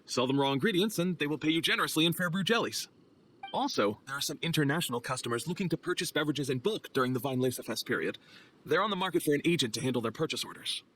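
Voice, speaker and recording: A man's voice: fairly high pitch, fairly bright tone, slight background noise, low-quality recording. A man playing an earnest, overly polite knight's logistics clerk in a video game, formal and a little nervous.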